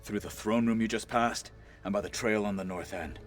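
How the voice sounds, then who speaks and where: Actor as deep, stoic warrior marshal. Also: male voice, steady tone, soft background music, close to an everyday voice. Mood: businesslike. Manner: grave, measured. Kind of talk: video game cutscene acting.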